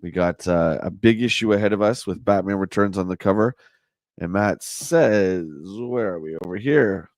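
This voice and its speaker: A man with a hoarse voice hosting a casual livestream, chatting and answering viewer comments. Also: on the low side, normal speed, dry recording, studio quality. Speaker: livestream host. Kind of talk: casual live chat reading a magazine.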